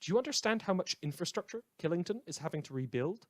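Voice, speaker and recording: A man's voice: high voice, expressive, fast, no echo. A man playing an opinionated, darkly comic radio host in an apocalyptic world, delivering a scripted monologue in a theatrical, wry manner. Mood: impassioned. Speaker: radio host character in a fan audio drama.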